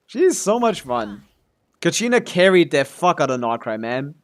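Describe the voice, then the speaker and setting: A man's voice: fairly high pitch, big pitch swings, moderate pace. Casual male game streamer thinking aloud. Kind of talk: casual live stream commentary, answering chat questions.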